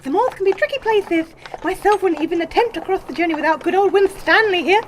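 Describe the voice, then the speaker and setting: Audio drama: folksy, wary carter, played by a woman, high-pitched, rambling dialect, faint background noise. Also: fast. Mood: folksy, warm. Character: kindly rustic male Cornish cart driver, giant, wary. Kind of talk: comic audio drama acting.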